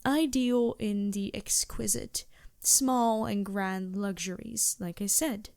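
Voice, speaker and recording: A woman's voice: medium pitch, fairly even intonation, slow, vocal fry, no echo. Woman playing a genteel vampire merchant, close dry sound. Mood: proud, explaining. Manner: genteel, formal phrasing with hesitant asides and soft chuckles. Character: polite, reassuring female vampire merchant, playful and earnest. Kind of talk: fantasy ASMR roleplay acting.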